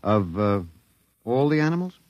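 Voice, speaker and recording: A man's voice: mid-range voice, big pitch swings, normal speed, darkish tone, no room sound, lo-fi sound, lightly acted. An actor in a 1950s radio drama playing a bewildered, wry male professor, talking in a hesitant, musing, conversational way. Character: bewildered, wry male anthropology professor, last man on Earth.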